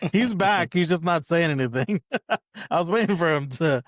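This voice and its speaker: A man's voice: fairly high pitch, lively intonation, measured pace, no echo, studio quality. A man speaking as a podcast guest in casual sports chat, often repeating himself as he talks.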